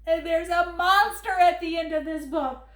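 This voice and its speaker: A woman putting on a lovable furry monster from a storybook, high-pitched and fretful, who begs and gasps in a frantic, pleading way. The voice bounces around a roomy, echoing space. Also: moderate pace, a bit bright. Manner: frantic, begging, gasping. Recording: roomy echo.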